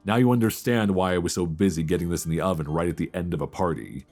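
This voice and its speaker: Deep-voiced, playful male friend, acted by a man. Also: quick pace, a little mellow, faint music behind. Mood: relaxed, friendly. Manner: teasing, stammering, chuckling banter. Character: playful, flustered male old friend hosting Friendsgiving, affectionate teaser. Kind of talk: intimate romantic ASMR audio roleplay, casual and conversational.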